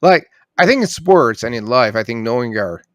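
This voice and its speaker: Man, podcast host, quick agreeing interjections, dry close sound, medium pitch. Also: expressive, dry recording. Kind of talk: casual interview conversation.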